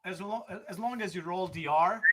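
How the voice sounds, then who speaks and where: A man with a Serbian accent hosts a casual livestream interview. He is relaxed, laughs easily and answers with short agreeing words. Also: sits high, normal speed. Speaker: show host.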